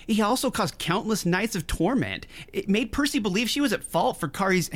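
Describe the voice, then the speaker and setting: An actress playing a protective, guarded woman doctor in a fiction podcast, with a halting delivery. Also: medium pitch, animated, natural voice. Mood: upset, angry. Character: protective, guarded telepathic woman doctor, quietly hurt and angry. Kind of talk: scripted fiction podcast acting.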